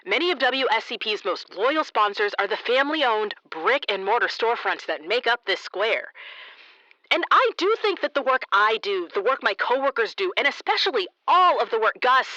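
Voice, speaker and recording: Slightly dull studio sound carries an earnest female public radio interviewer in a comedic audio drama. Her highish voice is lively and animated in pitch. She holds on to professional politeness while sounding flustered and indignant. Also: moderate pace, no echo. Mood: earnest, defensive.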